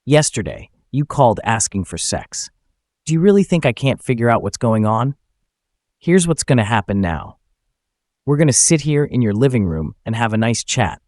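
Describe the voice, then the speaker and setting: A man's voice: mid-range voice, big pitch swings, normal speed, a little mellow, no echo, clean studio sound, natural voice. A man voicing a betrayed husband in a narrated story, falsely polite and coolly menacing, with a smug, controlled delivery. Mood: cool menace.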